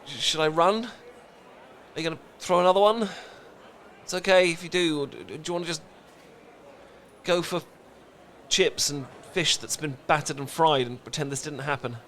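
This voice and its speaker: Man acting British male best friend, lovesick, flustered, stammering, slightly high pitch, audio roleplay, faint ambient noise. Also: moderate pace, a bit bright. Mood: flustered, earnest. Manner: stammering, rambling, earnest confession. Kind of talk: romantic comedy audio roleplay.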